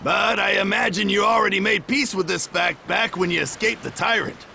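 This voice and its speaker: An actor playing a gruff, boisterous veteran shipwright mentor in a video game, with a gravelly voice and blunt, hearty, colloquial talk. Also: male voice, high-pitched, moderate pace, pushed and bright, slight background noise, exaggerated voice. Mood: stern, blunt. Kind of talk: video game cutscene voice acting.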